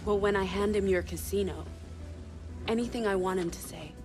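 Racing game villainess woman acting: cold, cocky, domineering race fixer, mid pitch, music underneath. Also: normal speed, darkish tone, lightly acted.

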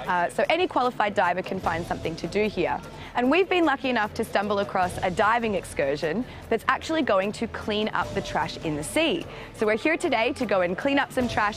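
A young woman hosting a travel show, presenting and interviewing in an upbeat, chatty way. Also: mid-range voice. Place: sunny beach outdoors.

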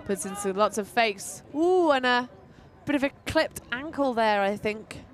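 A woman giving relaxed, playful live play-by-play commentary at an outdoor tournament. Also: on the high side, measured pace.

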